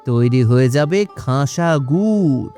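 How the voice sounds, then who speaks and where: A man voicing a boastful, scheming cartoon trickster from a village, out for quick money, with a wheedling, dismissive way of talking. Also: mid-range voice, lively intonation, warm tone, faint music behind. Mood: bossy persuasion. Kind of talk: animated cartoon dialogue.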